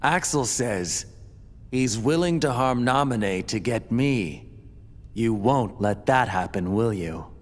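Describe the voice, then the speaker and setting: An actor playing a cold, scheming villain lord in a video game, haughty and formal. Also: male voice, mid-range voice, lively intonation, a little hiss or hum. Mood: coolly manipulative. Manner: haughty, formal, measured menace. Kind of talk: video game cutscene acting. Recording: long reverb, music behind.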